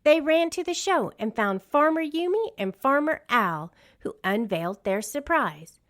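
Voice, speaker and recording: A woman narrates a children's read-along story. Her delivery is deliberate and unhurried, with very lively, sweeping intonation that marks each phrase for young listeners. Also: high voice.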